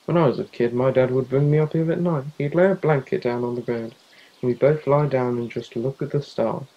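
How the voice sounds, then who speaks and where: A man's voice: mid-range voice, a little fast, muffled recording, natural voice. A young man in a youth theatre play acting a worried, caring teenage friend, earnest and pleading. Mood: gentle, reflective. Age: young adult.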